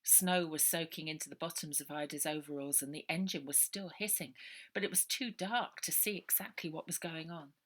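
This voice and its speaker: A woman author reading her own children's novel aloud as expressive narration. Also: low voice, moderate pace.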